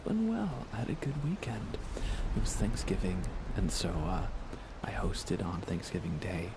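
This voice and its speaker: Man, very low pitch, creaky fry, hushed ASMR delivery, gentle and unhurried, noisy ambient background. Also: animated. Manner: gentle, unhurried, thinking aloud. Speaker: live streamer, host. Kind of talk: soft-spoken ASMR gaming stream chat.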